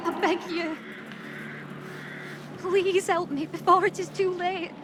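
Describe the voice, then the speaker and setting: A woman's voice: very high voice, level tone, slow pace, noisy background. A woman playing a fearful, earnest 17th-century villager accused of witchcraft, pleading in formal archaic phrases in a video game. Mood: desperate pleading. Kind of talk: dramatic video game acting.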